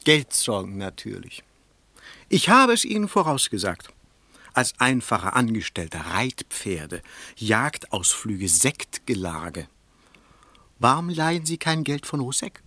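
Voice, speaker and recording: Sardonic bourgeois gossip, radio drama, lively swooping intonation, dry close studio sound. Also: male voice, mid-range voice, measured pace, no room sound, full character voice. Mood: reproachful. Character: gossiping, scornful bourgeois businessman, calculating and mocking. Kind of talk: German radio play acting.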